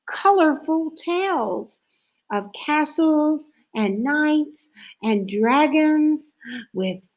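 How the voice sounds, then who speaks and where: Woman, children's storybook reader, higher-pitched voice, very slow, expressive, drawn-out phrases. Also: low-quality recording. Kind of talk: children's story read-aloud.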